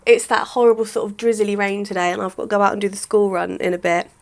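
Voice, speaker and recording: Chatty woman, slightly croaky. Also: medium pitch, steady tone, fast, darkish tone. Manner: chatty, rambling, lots of "sort of". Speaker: hair vlogger. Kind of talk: casual how-to vlog.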